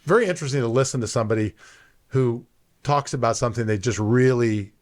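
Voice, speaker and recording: A man's voice: medium pitch, fast talker. A man in his forties hosting a real estate podcast in an easygoing, chatty way. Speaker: podcast host, mortgage broker. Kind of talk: podcast chat and scripted intro.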